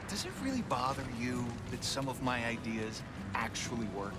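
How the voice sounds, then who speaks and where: An actor playing a carefree warrior brother who talks in a teasing way. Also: male voice, mid-range voice, sing-song, normal speed, loud music behind the voice, natural voice. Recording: heavy background noise.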